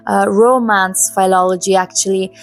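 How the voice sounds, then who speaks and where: A woman's voice: mid-range voice, fairly even intonation, normal speed, soft background music. A woman in her twenties, a native Italian and Polish speaker, hosting a casual vlog in a chatty, emphatic, personal way. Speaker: host. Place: home in Poland.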